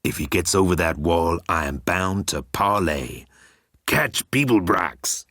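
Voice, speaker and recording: A man's voice: low voice, expressive, unhurried, fairly bright tone, dry recording, clean studio sound, heavily acted. A man voicing a pompous, self-pitying god who guards a bridge, easily offended and declamatory, in an audiobook. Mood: stern.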